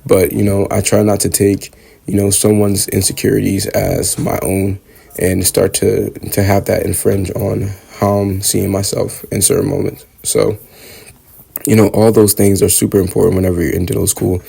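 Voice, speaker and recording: A man's voice: little pitch movement, normal speed, mellow. A young man in his twenties talking casually to camera, congested from a cold, reflecting on student life. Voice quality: husky, low gravelly voice. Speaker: vlogger, dental student.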